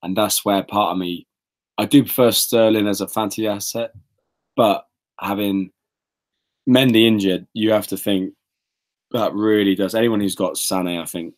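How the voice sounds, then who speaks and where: A man with a fairly low voice talks casually as a co-host on a sports podcast. He rambles as he goes, thinking aloud and working through his options in loose, conversational sentences. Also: steady tone, normal speed, long pauses. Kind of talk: casual football fantasy league discussion.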